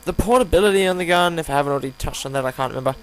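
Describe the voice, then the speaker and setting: A tired man with a croaky voice gives casual, unscripted game commentary, rambling and hesitant as he reviews. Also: fairly high pitch, rapid-fire, poor audio. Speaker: gaming video host reviewing a weapon. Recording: home recording with audible hum, background noise.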